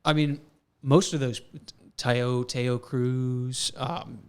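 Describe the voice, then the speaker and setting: A man's voice: medium pitch, fairly even intonation, very slow, a little mellow, clean tone. A young man in his twenties co-hosting a casual podcast, rambling with lots of fillers. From South Florida. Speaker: podcast co-host. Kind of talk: casual chat.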